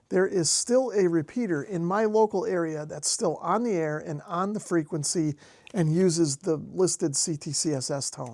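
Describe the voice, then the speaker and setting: An American man with a high voice talks through a practical demonstration in a casual way. He is recorded dry, with hardly any room around him, and explains things like a hobbyist host. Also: a little mellow, no room sound. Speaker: host, amateur radio hobbyist. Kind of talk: casual hands-on demonstration narration.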